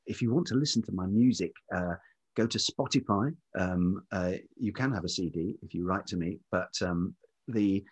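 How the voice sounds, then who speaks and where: A man speaking as an interview guest in a reflective, conversational way, thoughtful and halting as he searches for words. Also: low-pitched, darkish tone, breathy edge. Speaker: interview guest, writer and musician.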